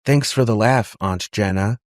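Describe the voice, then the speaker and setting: A man's voice: lowish voice, normal speed, reverberant, distant mic, close to an everyday voice. A man voicing a cruel, taunting robot girl with a mocking, falsely sweet manner in an audiobook story. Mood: mocking sweetness.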